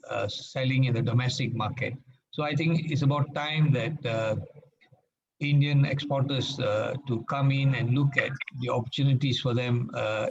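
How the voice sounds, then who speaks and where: A man speaks in a measured, formal way and often pauses with fillers. His voice sits at a middle pitch. The recording is dull and lo-fi, with faint noise behind him. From Malaysia. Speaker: guest speaker on trade. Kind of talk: formal meeting talk. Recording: dull lo-fi sound.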